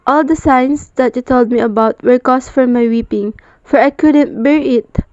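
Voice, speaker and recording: Woman as high-voiced, yearning young noble bride. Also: normal speed, a little mellow, no room sound, muffled recording, close to an everyday voice. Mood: tearful relief. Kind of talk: readers' theater of a folk epic.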